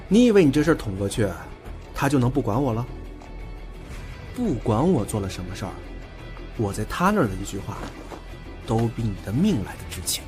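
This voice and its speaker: A man's voice: medium pitch, darkish tone, natural voice. A man playing a defiant, blunt, proud young man in an audio drama, with curt delivery. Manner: curt, scornful retorts. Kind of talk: Chinese audio drama acting. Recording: music behind the voice.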